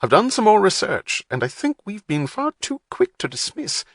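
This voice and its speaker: A man voicing a polite, wry male historian with a plummy English accent and a measured, professorial manner. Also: sits high, sing-song, fairly bright tone, no room sound, clean studio sound, voice pushed up, full character voice. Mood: genteel. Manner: measured, genteel, slightly professorial.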